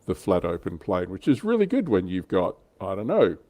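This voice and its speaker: A man's voice: low-pitched, huge pitch swings, moderate pace, warm tone. A man hosting a livestream, explaining history in an informal, enthusiastic, chatty way. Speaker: livestream host. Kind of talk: casual explanatory history chat.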